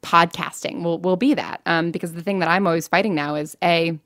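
A woman's voice: on the low side, steady tone, brisk, a bit bright, dry recording, clean studio sound. An American woman speaking candidly as an interview guest, in a chatty, informal way with lots of "like" and self-interruptions. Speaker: interview guest, fiction podcast writer and producer.

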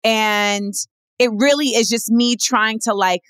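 A woman guest with a general American accent, speaking in a candid interview in a direct, emphatic way, with quick asides. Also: steady tone, fairly bright tone, clear, resonant tone, no echo, studio quality. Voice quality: says she has a deep voice.

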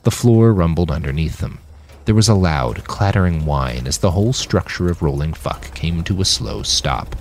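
A man's voice: low voice, lively intonation, normal speed. A man narrating a scripted novel as an audiobook reader.

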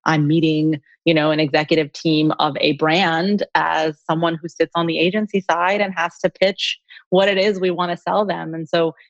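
A woman's voice: low-pitched, flat delivery, normal speed, reverberant, dull audio. A middle-aged American woman from Colorado, giving articulate, reflective answers as an interview guest. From Colorado, United States. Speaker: interview guest, communications executive.

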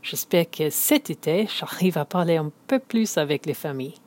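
A woman's voice: deep voice, expressive, normal speed, darkish tone. A woman from South Africa whose first language is English, carefully reading out a prepared message in learner French. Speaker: listener sending a message.

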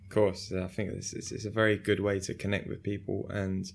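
A man hosting a casual interview, agreeable and relaxed, asking loose rambling questions. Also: low-pitched, fairly quick, vocal fry, slight background noise. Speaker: interview host.

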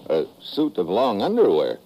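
An American actor playing a tough, wisecracking secret agent in a 1950s radio drama, sardonic and hard-boiled. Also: male voice, lowish voice, huge pitch swings, normal speed, dark tone, rough recording. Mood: sardonic, annoyed. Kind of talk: 1950s radio drama acting with first-person narration.

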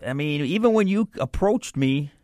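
A man's voice: a little high, animated, normal speed, a little mellow, no echo, clean studio sound. Man in his fifties with a Chicago accent. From Chicago area. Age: 50s. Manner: plain, matter-of-fact short answers. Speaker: interviewee. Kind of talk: casual interview answers.

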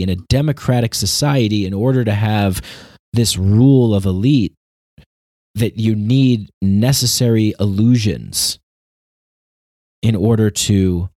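Male commentator, lowish pitch, dry close sound; earnest off-the-cuff opinion talk with halting, repeated phrases. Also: mellow, dry recording. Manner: halting, repetitive, searching for words. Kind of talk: unscripted opinion monologue.

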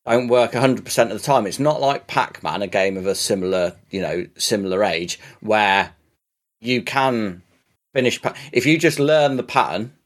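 A man chatting casually on a podcast, rambling with many restarts and swearing now and then as he gets excited. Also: on the low side, normal speed. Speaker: podcast co-host. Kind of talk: casual podcast chat about games.

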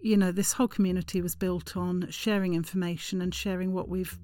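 A woman's voice: lowish voice, little pitch movement, brisk, dark tone. A woman co-organiser chatting in a conversational promo for an event.

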